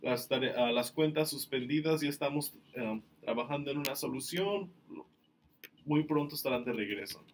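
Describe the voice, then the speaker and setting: A man from Mexico hosting a live-streamed question-and-answer session, explaining things in a relaxed way with many fillers. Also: medium pitch, normal speed. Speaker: company staff host answering questions.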